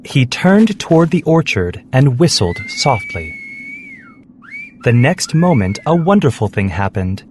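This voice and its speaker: A man's voice: medium pitch, very animated, a little slow, a little mellow, a little hiss or hum. A man narrating a scripted story for children.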